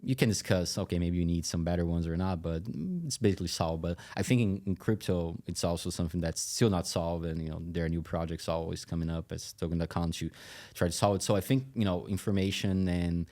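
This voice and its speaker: Low, even-toned man. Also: a little fast, hardly any pauses. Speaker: podcast guest, tech company chief executive. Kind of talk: conversational interview.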